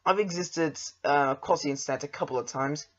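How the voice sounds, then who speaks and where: A man's voice: fairly high pitch, brisk, a bit bright, dull audio. A young man with an English accent, chatting casually as a podcast host in a hesitant, self-deprecating way.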